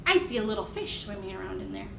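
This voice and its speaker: A woman's voice: medium pitch, very animated, fast talker, sharp tone, recorded from a distance. An American woman leading a storytime for toddlers as a children's librarian, talking simply to small children with questions and encouragement. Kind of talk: picture-book reading and sing-along for toddlers. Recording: echoey room with faint background noise.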